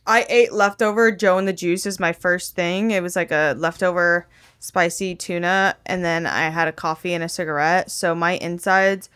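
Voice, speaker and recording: A woman's voice: on the low side, steady tone, moderate pace, a bit bright, smooth. A young woman co-hosting a comedic podcast, chatting casually with blunt, slangy candor. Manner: blunt, slangy, candid oversharing. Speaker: podcast co-host.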